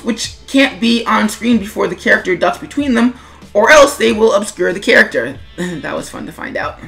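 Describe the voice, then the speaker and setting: A woman's voice: mid-range voice, fairly bright tone. A woman giving semi-scripted creator commentary in a chatty way, with wry asides and questions put to the listener. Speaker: webcomic creator and narrator.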